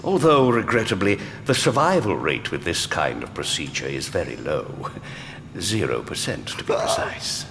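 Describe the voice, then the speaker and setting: An actor playing a genteel, sadistic mad doctor in an audio drama, speaking with polite, avuncular menace. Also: male voice, sits low, very animated, moderate pace, a bit bright, slight background noise, full character voice. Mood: cheerfully sadistic.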